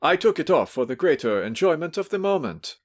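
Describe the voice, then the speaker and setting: A man voicing a drunk, sentimental, fawning middle-aged gentleman in a storybook reading, pleading and effusive. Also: medium pitch, huge pitch swings, measured pace, voice pushed up.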